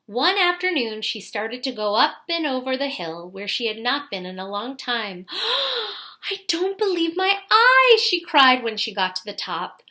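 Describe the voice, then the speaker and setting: A woman's voice: on the high side, animated, sharp tone. A woman reading a children's picture book aloud expressively, in the manner of a teacher talking to young listeners. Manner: pauses to ask young listeners questions.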